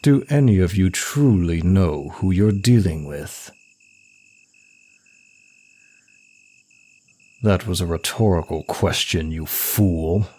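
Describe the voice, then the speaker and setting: A demon prince of lust played by a man speaks in a low voice, taking his time over each phrase. He is suave, smug and taunting, an arrogant seducer in an intimate audio roleplay. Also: unhurried, dark tone. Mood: proud, scornful. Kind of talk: intimate ASMR roleplay monologue.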